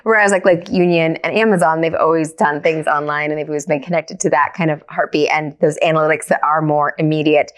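A woman's voice: low-pitched, fairly even intonation, fairly quick, few pauses. A woman hosting a casual interview, agreeable and affirming toward her guest. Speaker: podcast host.

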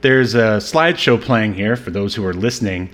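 A man's voice: lowish voice, moderate pace, fairly bright tone. A man co-hosting a film podcast, chatting casually in a hesitant, rambling, joking way. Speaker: podcast co-host reviewing a film. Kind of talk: casual film-review chat.

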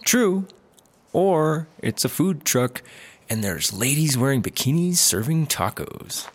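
A man's voice: on the high side, very animated, takes it slowly, breathy edge, exaggerated voice. A man acting an easygoing, food-loving, cheerfully oblivious buddy in a sci-fi comedy audio drama. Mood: dreamy, eager. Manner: casual, unbothered, cheerfully oblivious. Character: laid-back, easygoing, food-loving male squad car buddy.

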